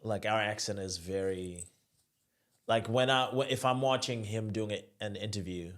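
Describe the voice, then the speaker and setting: A young man from Zimbabwe, talking loosely and casually as a podcast guest, dropping swear words as he goes. His voice sits fairly low. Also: moderate pace, a bit bright. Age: young adult. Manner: loose and chatty, swears freely. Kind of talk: casual chat.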